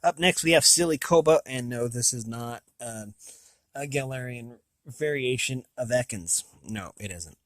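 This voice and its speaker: A man's voice: medium pitch, fairly bright tone, dry recording. Rambling American man, dry close sound. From United States. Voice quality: slightly raspy from long talking. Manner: rambling, halting, thinking aloud. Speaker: video host. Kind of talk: casual, unscripted video game review.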